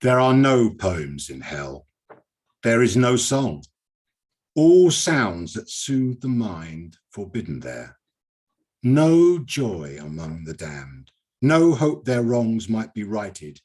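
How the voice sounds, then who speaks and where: A British man hosting a poetry reading, reciting and commenting in an emphatic, expressive, theatrical manner. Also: on the low side, takes it slowly. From Britain.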